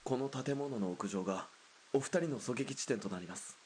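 A man plays a dutiful soldier escort in a radio drama, mid-pitched and formal. He reports in stiff military politeness, with a faint hiss behind him. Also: moderate pace, darkish tone, close to an everyday voice.

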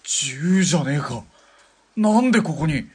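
An actor playing a grumbling, mercenary partner in an assassin duo in a radio drama, with a casual, sardonic way of talking. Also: male voice, a little high, lively intonation, a little slow, a little hiss or hum. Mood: surprised. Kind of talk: Japanese radio drama acting.

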